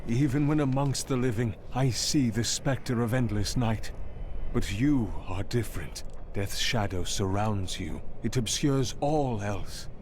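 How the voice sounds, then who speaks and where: A man's voice: medium pitch, unhurried, a little mellow, slight background noise, close to an everyday voice. An actor playing a haunted, morbid townsman in a video game, speaking ominous, portentous prophecy. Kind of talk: video game dialogue acting.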